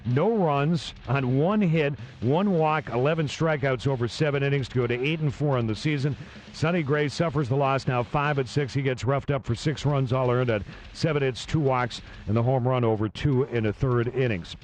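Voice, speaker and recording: Man, mid-pitch, recap narrator, even matter-of-fact delivery, faint noise behind. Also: moderate pace. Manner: steady, matter-of-fact summarizing. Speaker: recap host.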